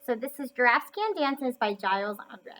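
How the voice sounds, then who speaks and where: A woman reading a children's picture book aloud in an expressive, emphatic storytelling manner. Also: a little high, a little fast, a little hiss or hum.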